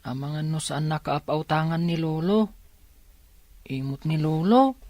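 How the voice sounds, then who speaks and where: A woman's voice: low voice, a little slow, mellow, no echo. A woman with an Ilocano accent playing a worried, devoted child of an ailing mother in a radio drama, tentative and plaintive. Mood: doubtful.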